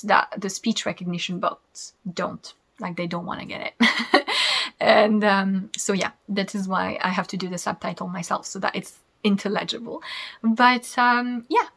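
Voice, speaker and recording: A woman's voice: medium pitch, fairly bright tone. A woman in her thirties with a French accent, a native French speaker, hosting a knitting podcast in a chatty, rambling way and laughing easily. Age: 30s. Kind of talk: casual chat to camera.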